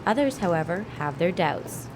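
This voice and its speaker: A woman's voice: fairly low pitch, big pitch swings, moderate pace, very noisy. An American woman narrating a scripted local news story as a reporter.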